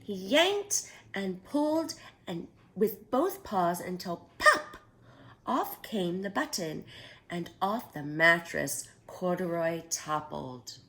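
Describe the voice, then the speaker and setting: Lively woman reading a children's story. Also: on the low side, slow pace. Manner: animated, asks listeners questions. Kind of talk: read-aloud storytime.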